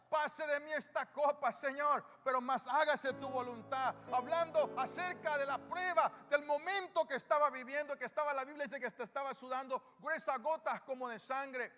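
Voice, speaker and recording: A Salvadoran man with a notably high voice preaches a sermon, firing questions at the congregation and answering them himself. His voice carries through a long, reverberant hall. Soft music plays behind him. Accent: Salvadoran Spanish. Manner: call-and-response questions to the congregation. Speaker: pastor.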